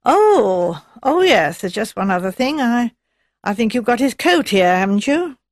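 A woman's voice: a little high, sing-song, measured pace, dry recording. A woman voicing a stout, jovial, pushy middle-aged man in an audiobook, hearty and colloquial. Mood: probing. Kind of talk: audiobook character voice.

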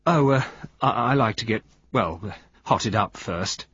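A good-natured but rather dim young gentleman acted by a male reader from a storybook. He is vague and hesitant, with a lively, varied pitch and a dry sound. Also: normal speed, slightly rough, no echo, muffled recording. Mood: absent, flustered. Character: handsome, amiable, slightly stupid young husband.

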